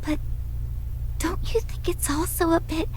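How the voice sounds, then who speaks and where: A woman's voice: very high voice, unhurried, a little mellow, slight background noise. A woman voicing an eager, earnest, slightly hesitant young girl in a visual novel game.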